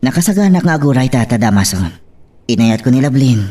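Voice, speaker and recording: Actor as young village suitor, mellow timbre, distant mic, Ilocano accent, jealous, insistent, melodrama. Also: male voice, mid-range voice, lively intonation, fast, close to an everyday voice. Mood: jealous, determined.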